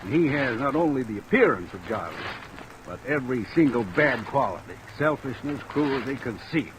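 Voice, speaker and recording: A man's voice: mid-range voice, lively intonation, moderate pace, lightly acted. Man playing a guarded lawyer, noisy vintage radio. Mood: wary, warning. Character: guarded, suspicious small-town male defense lawyer. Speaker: radio actor. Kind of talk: 1940s-50s radio drama acting. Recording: noisy old radio recording, rain over it.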